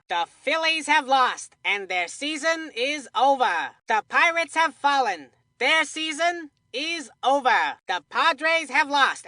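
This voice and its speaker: A woman's voice: high-pitched, bright, forceful tone, dry recording, clean studio sound, full character voice. A woman voicing an upbeat cartoon commentator in a kids' baseball video game, with punchy, scripted sports-announcer delivery.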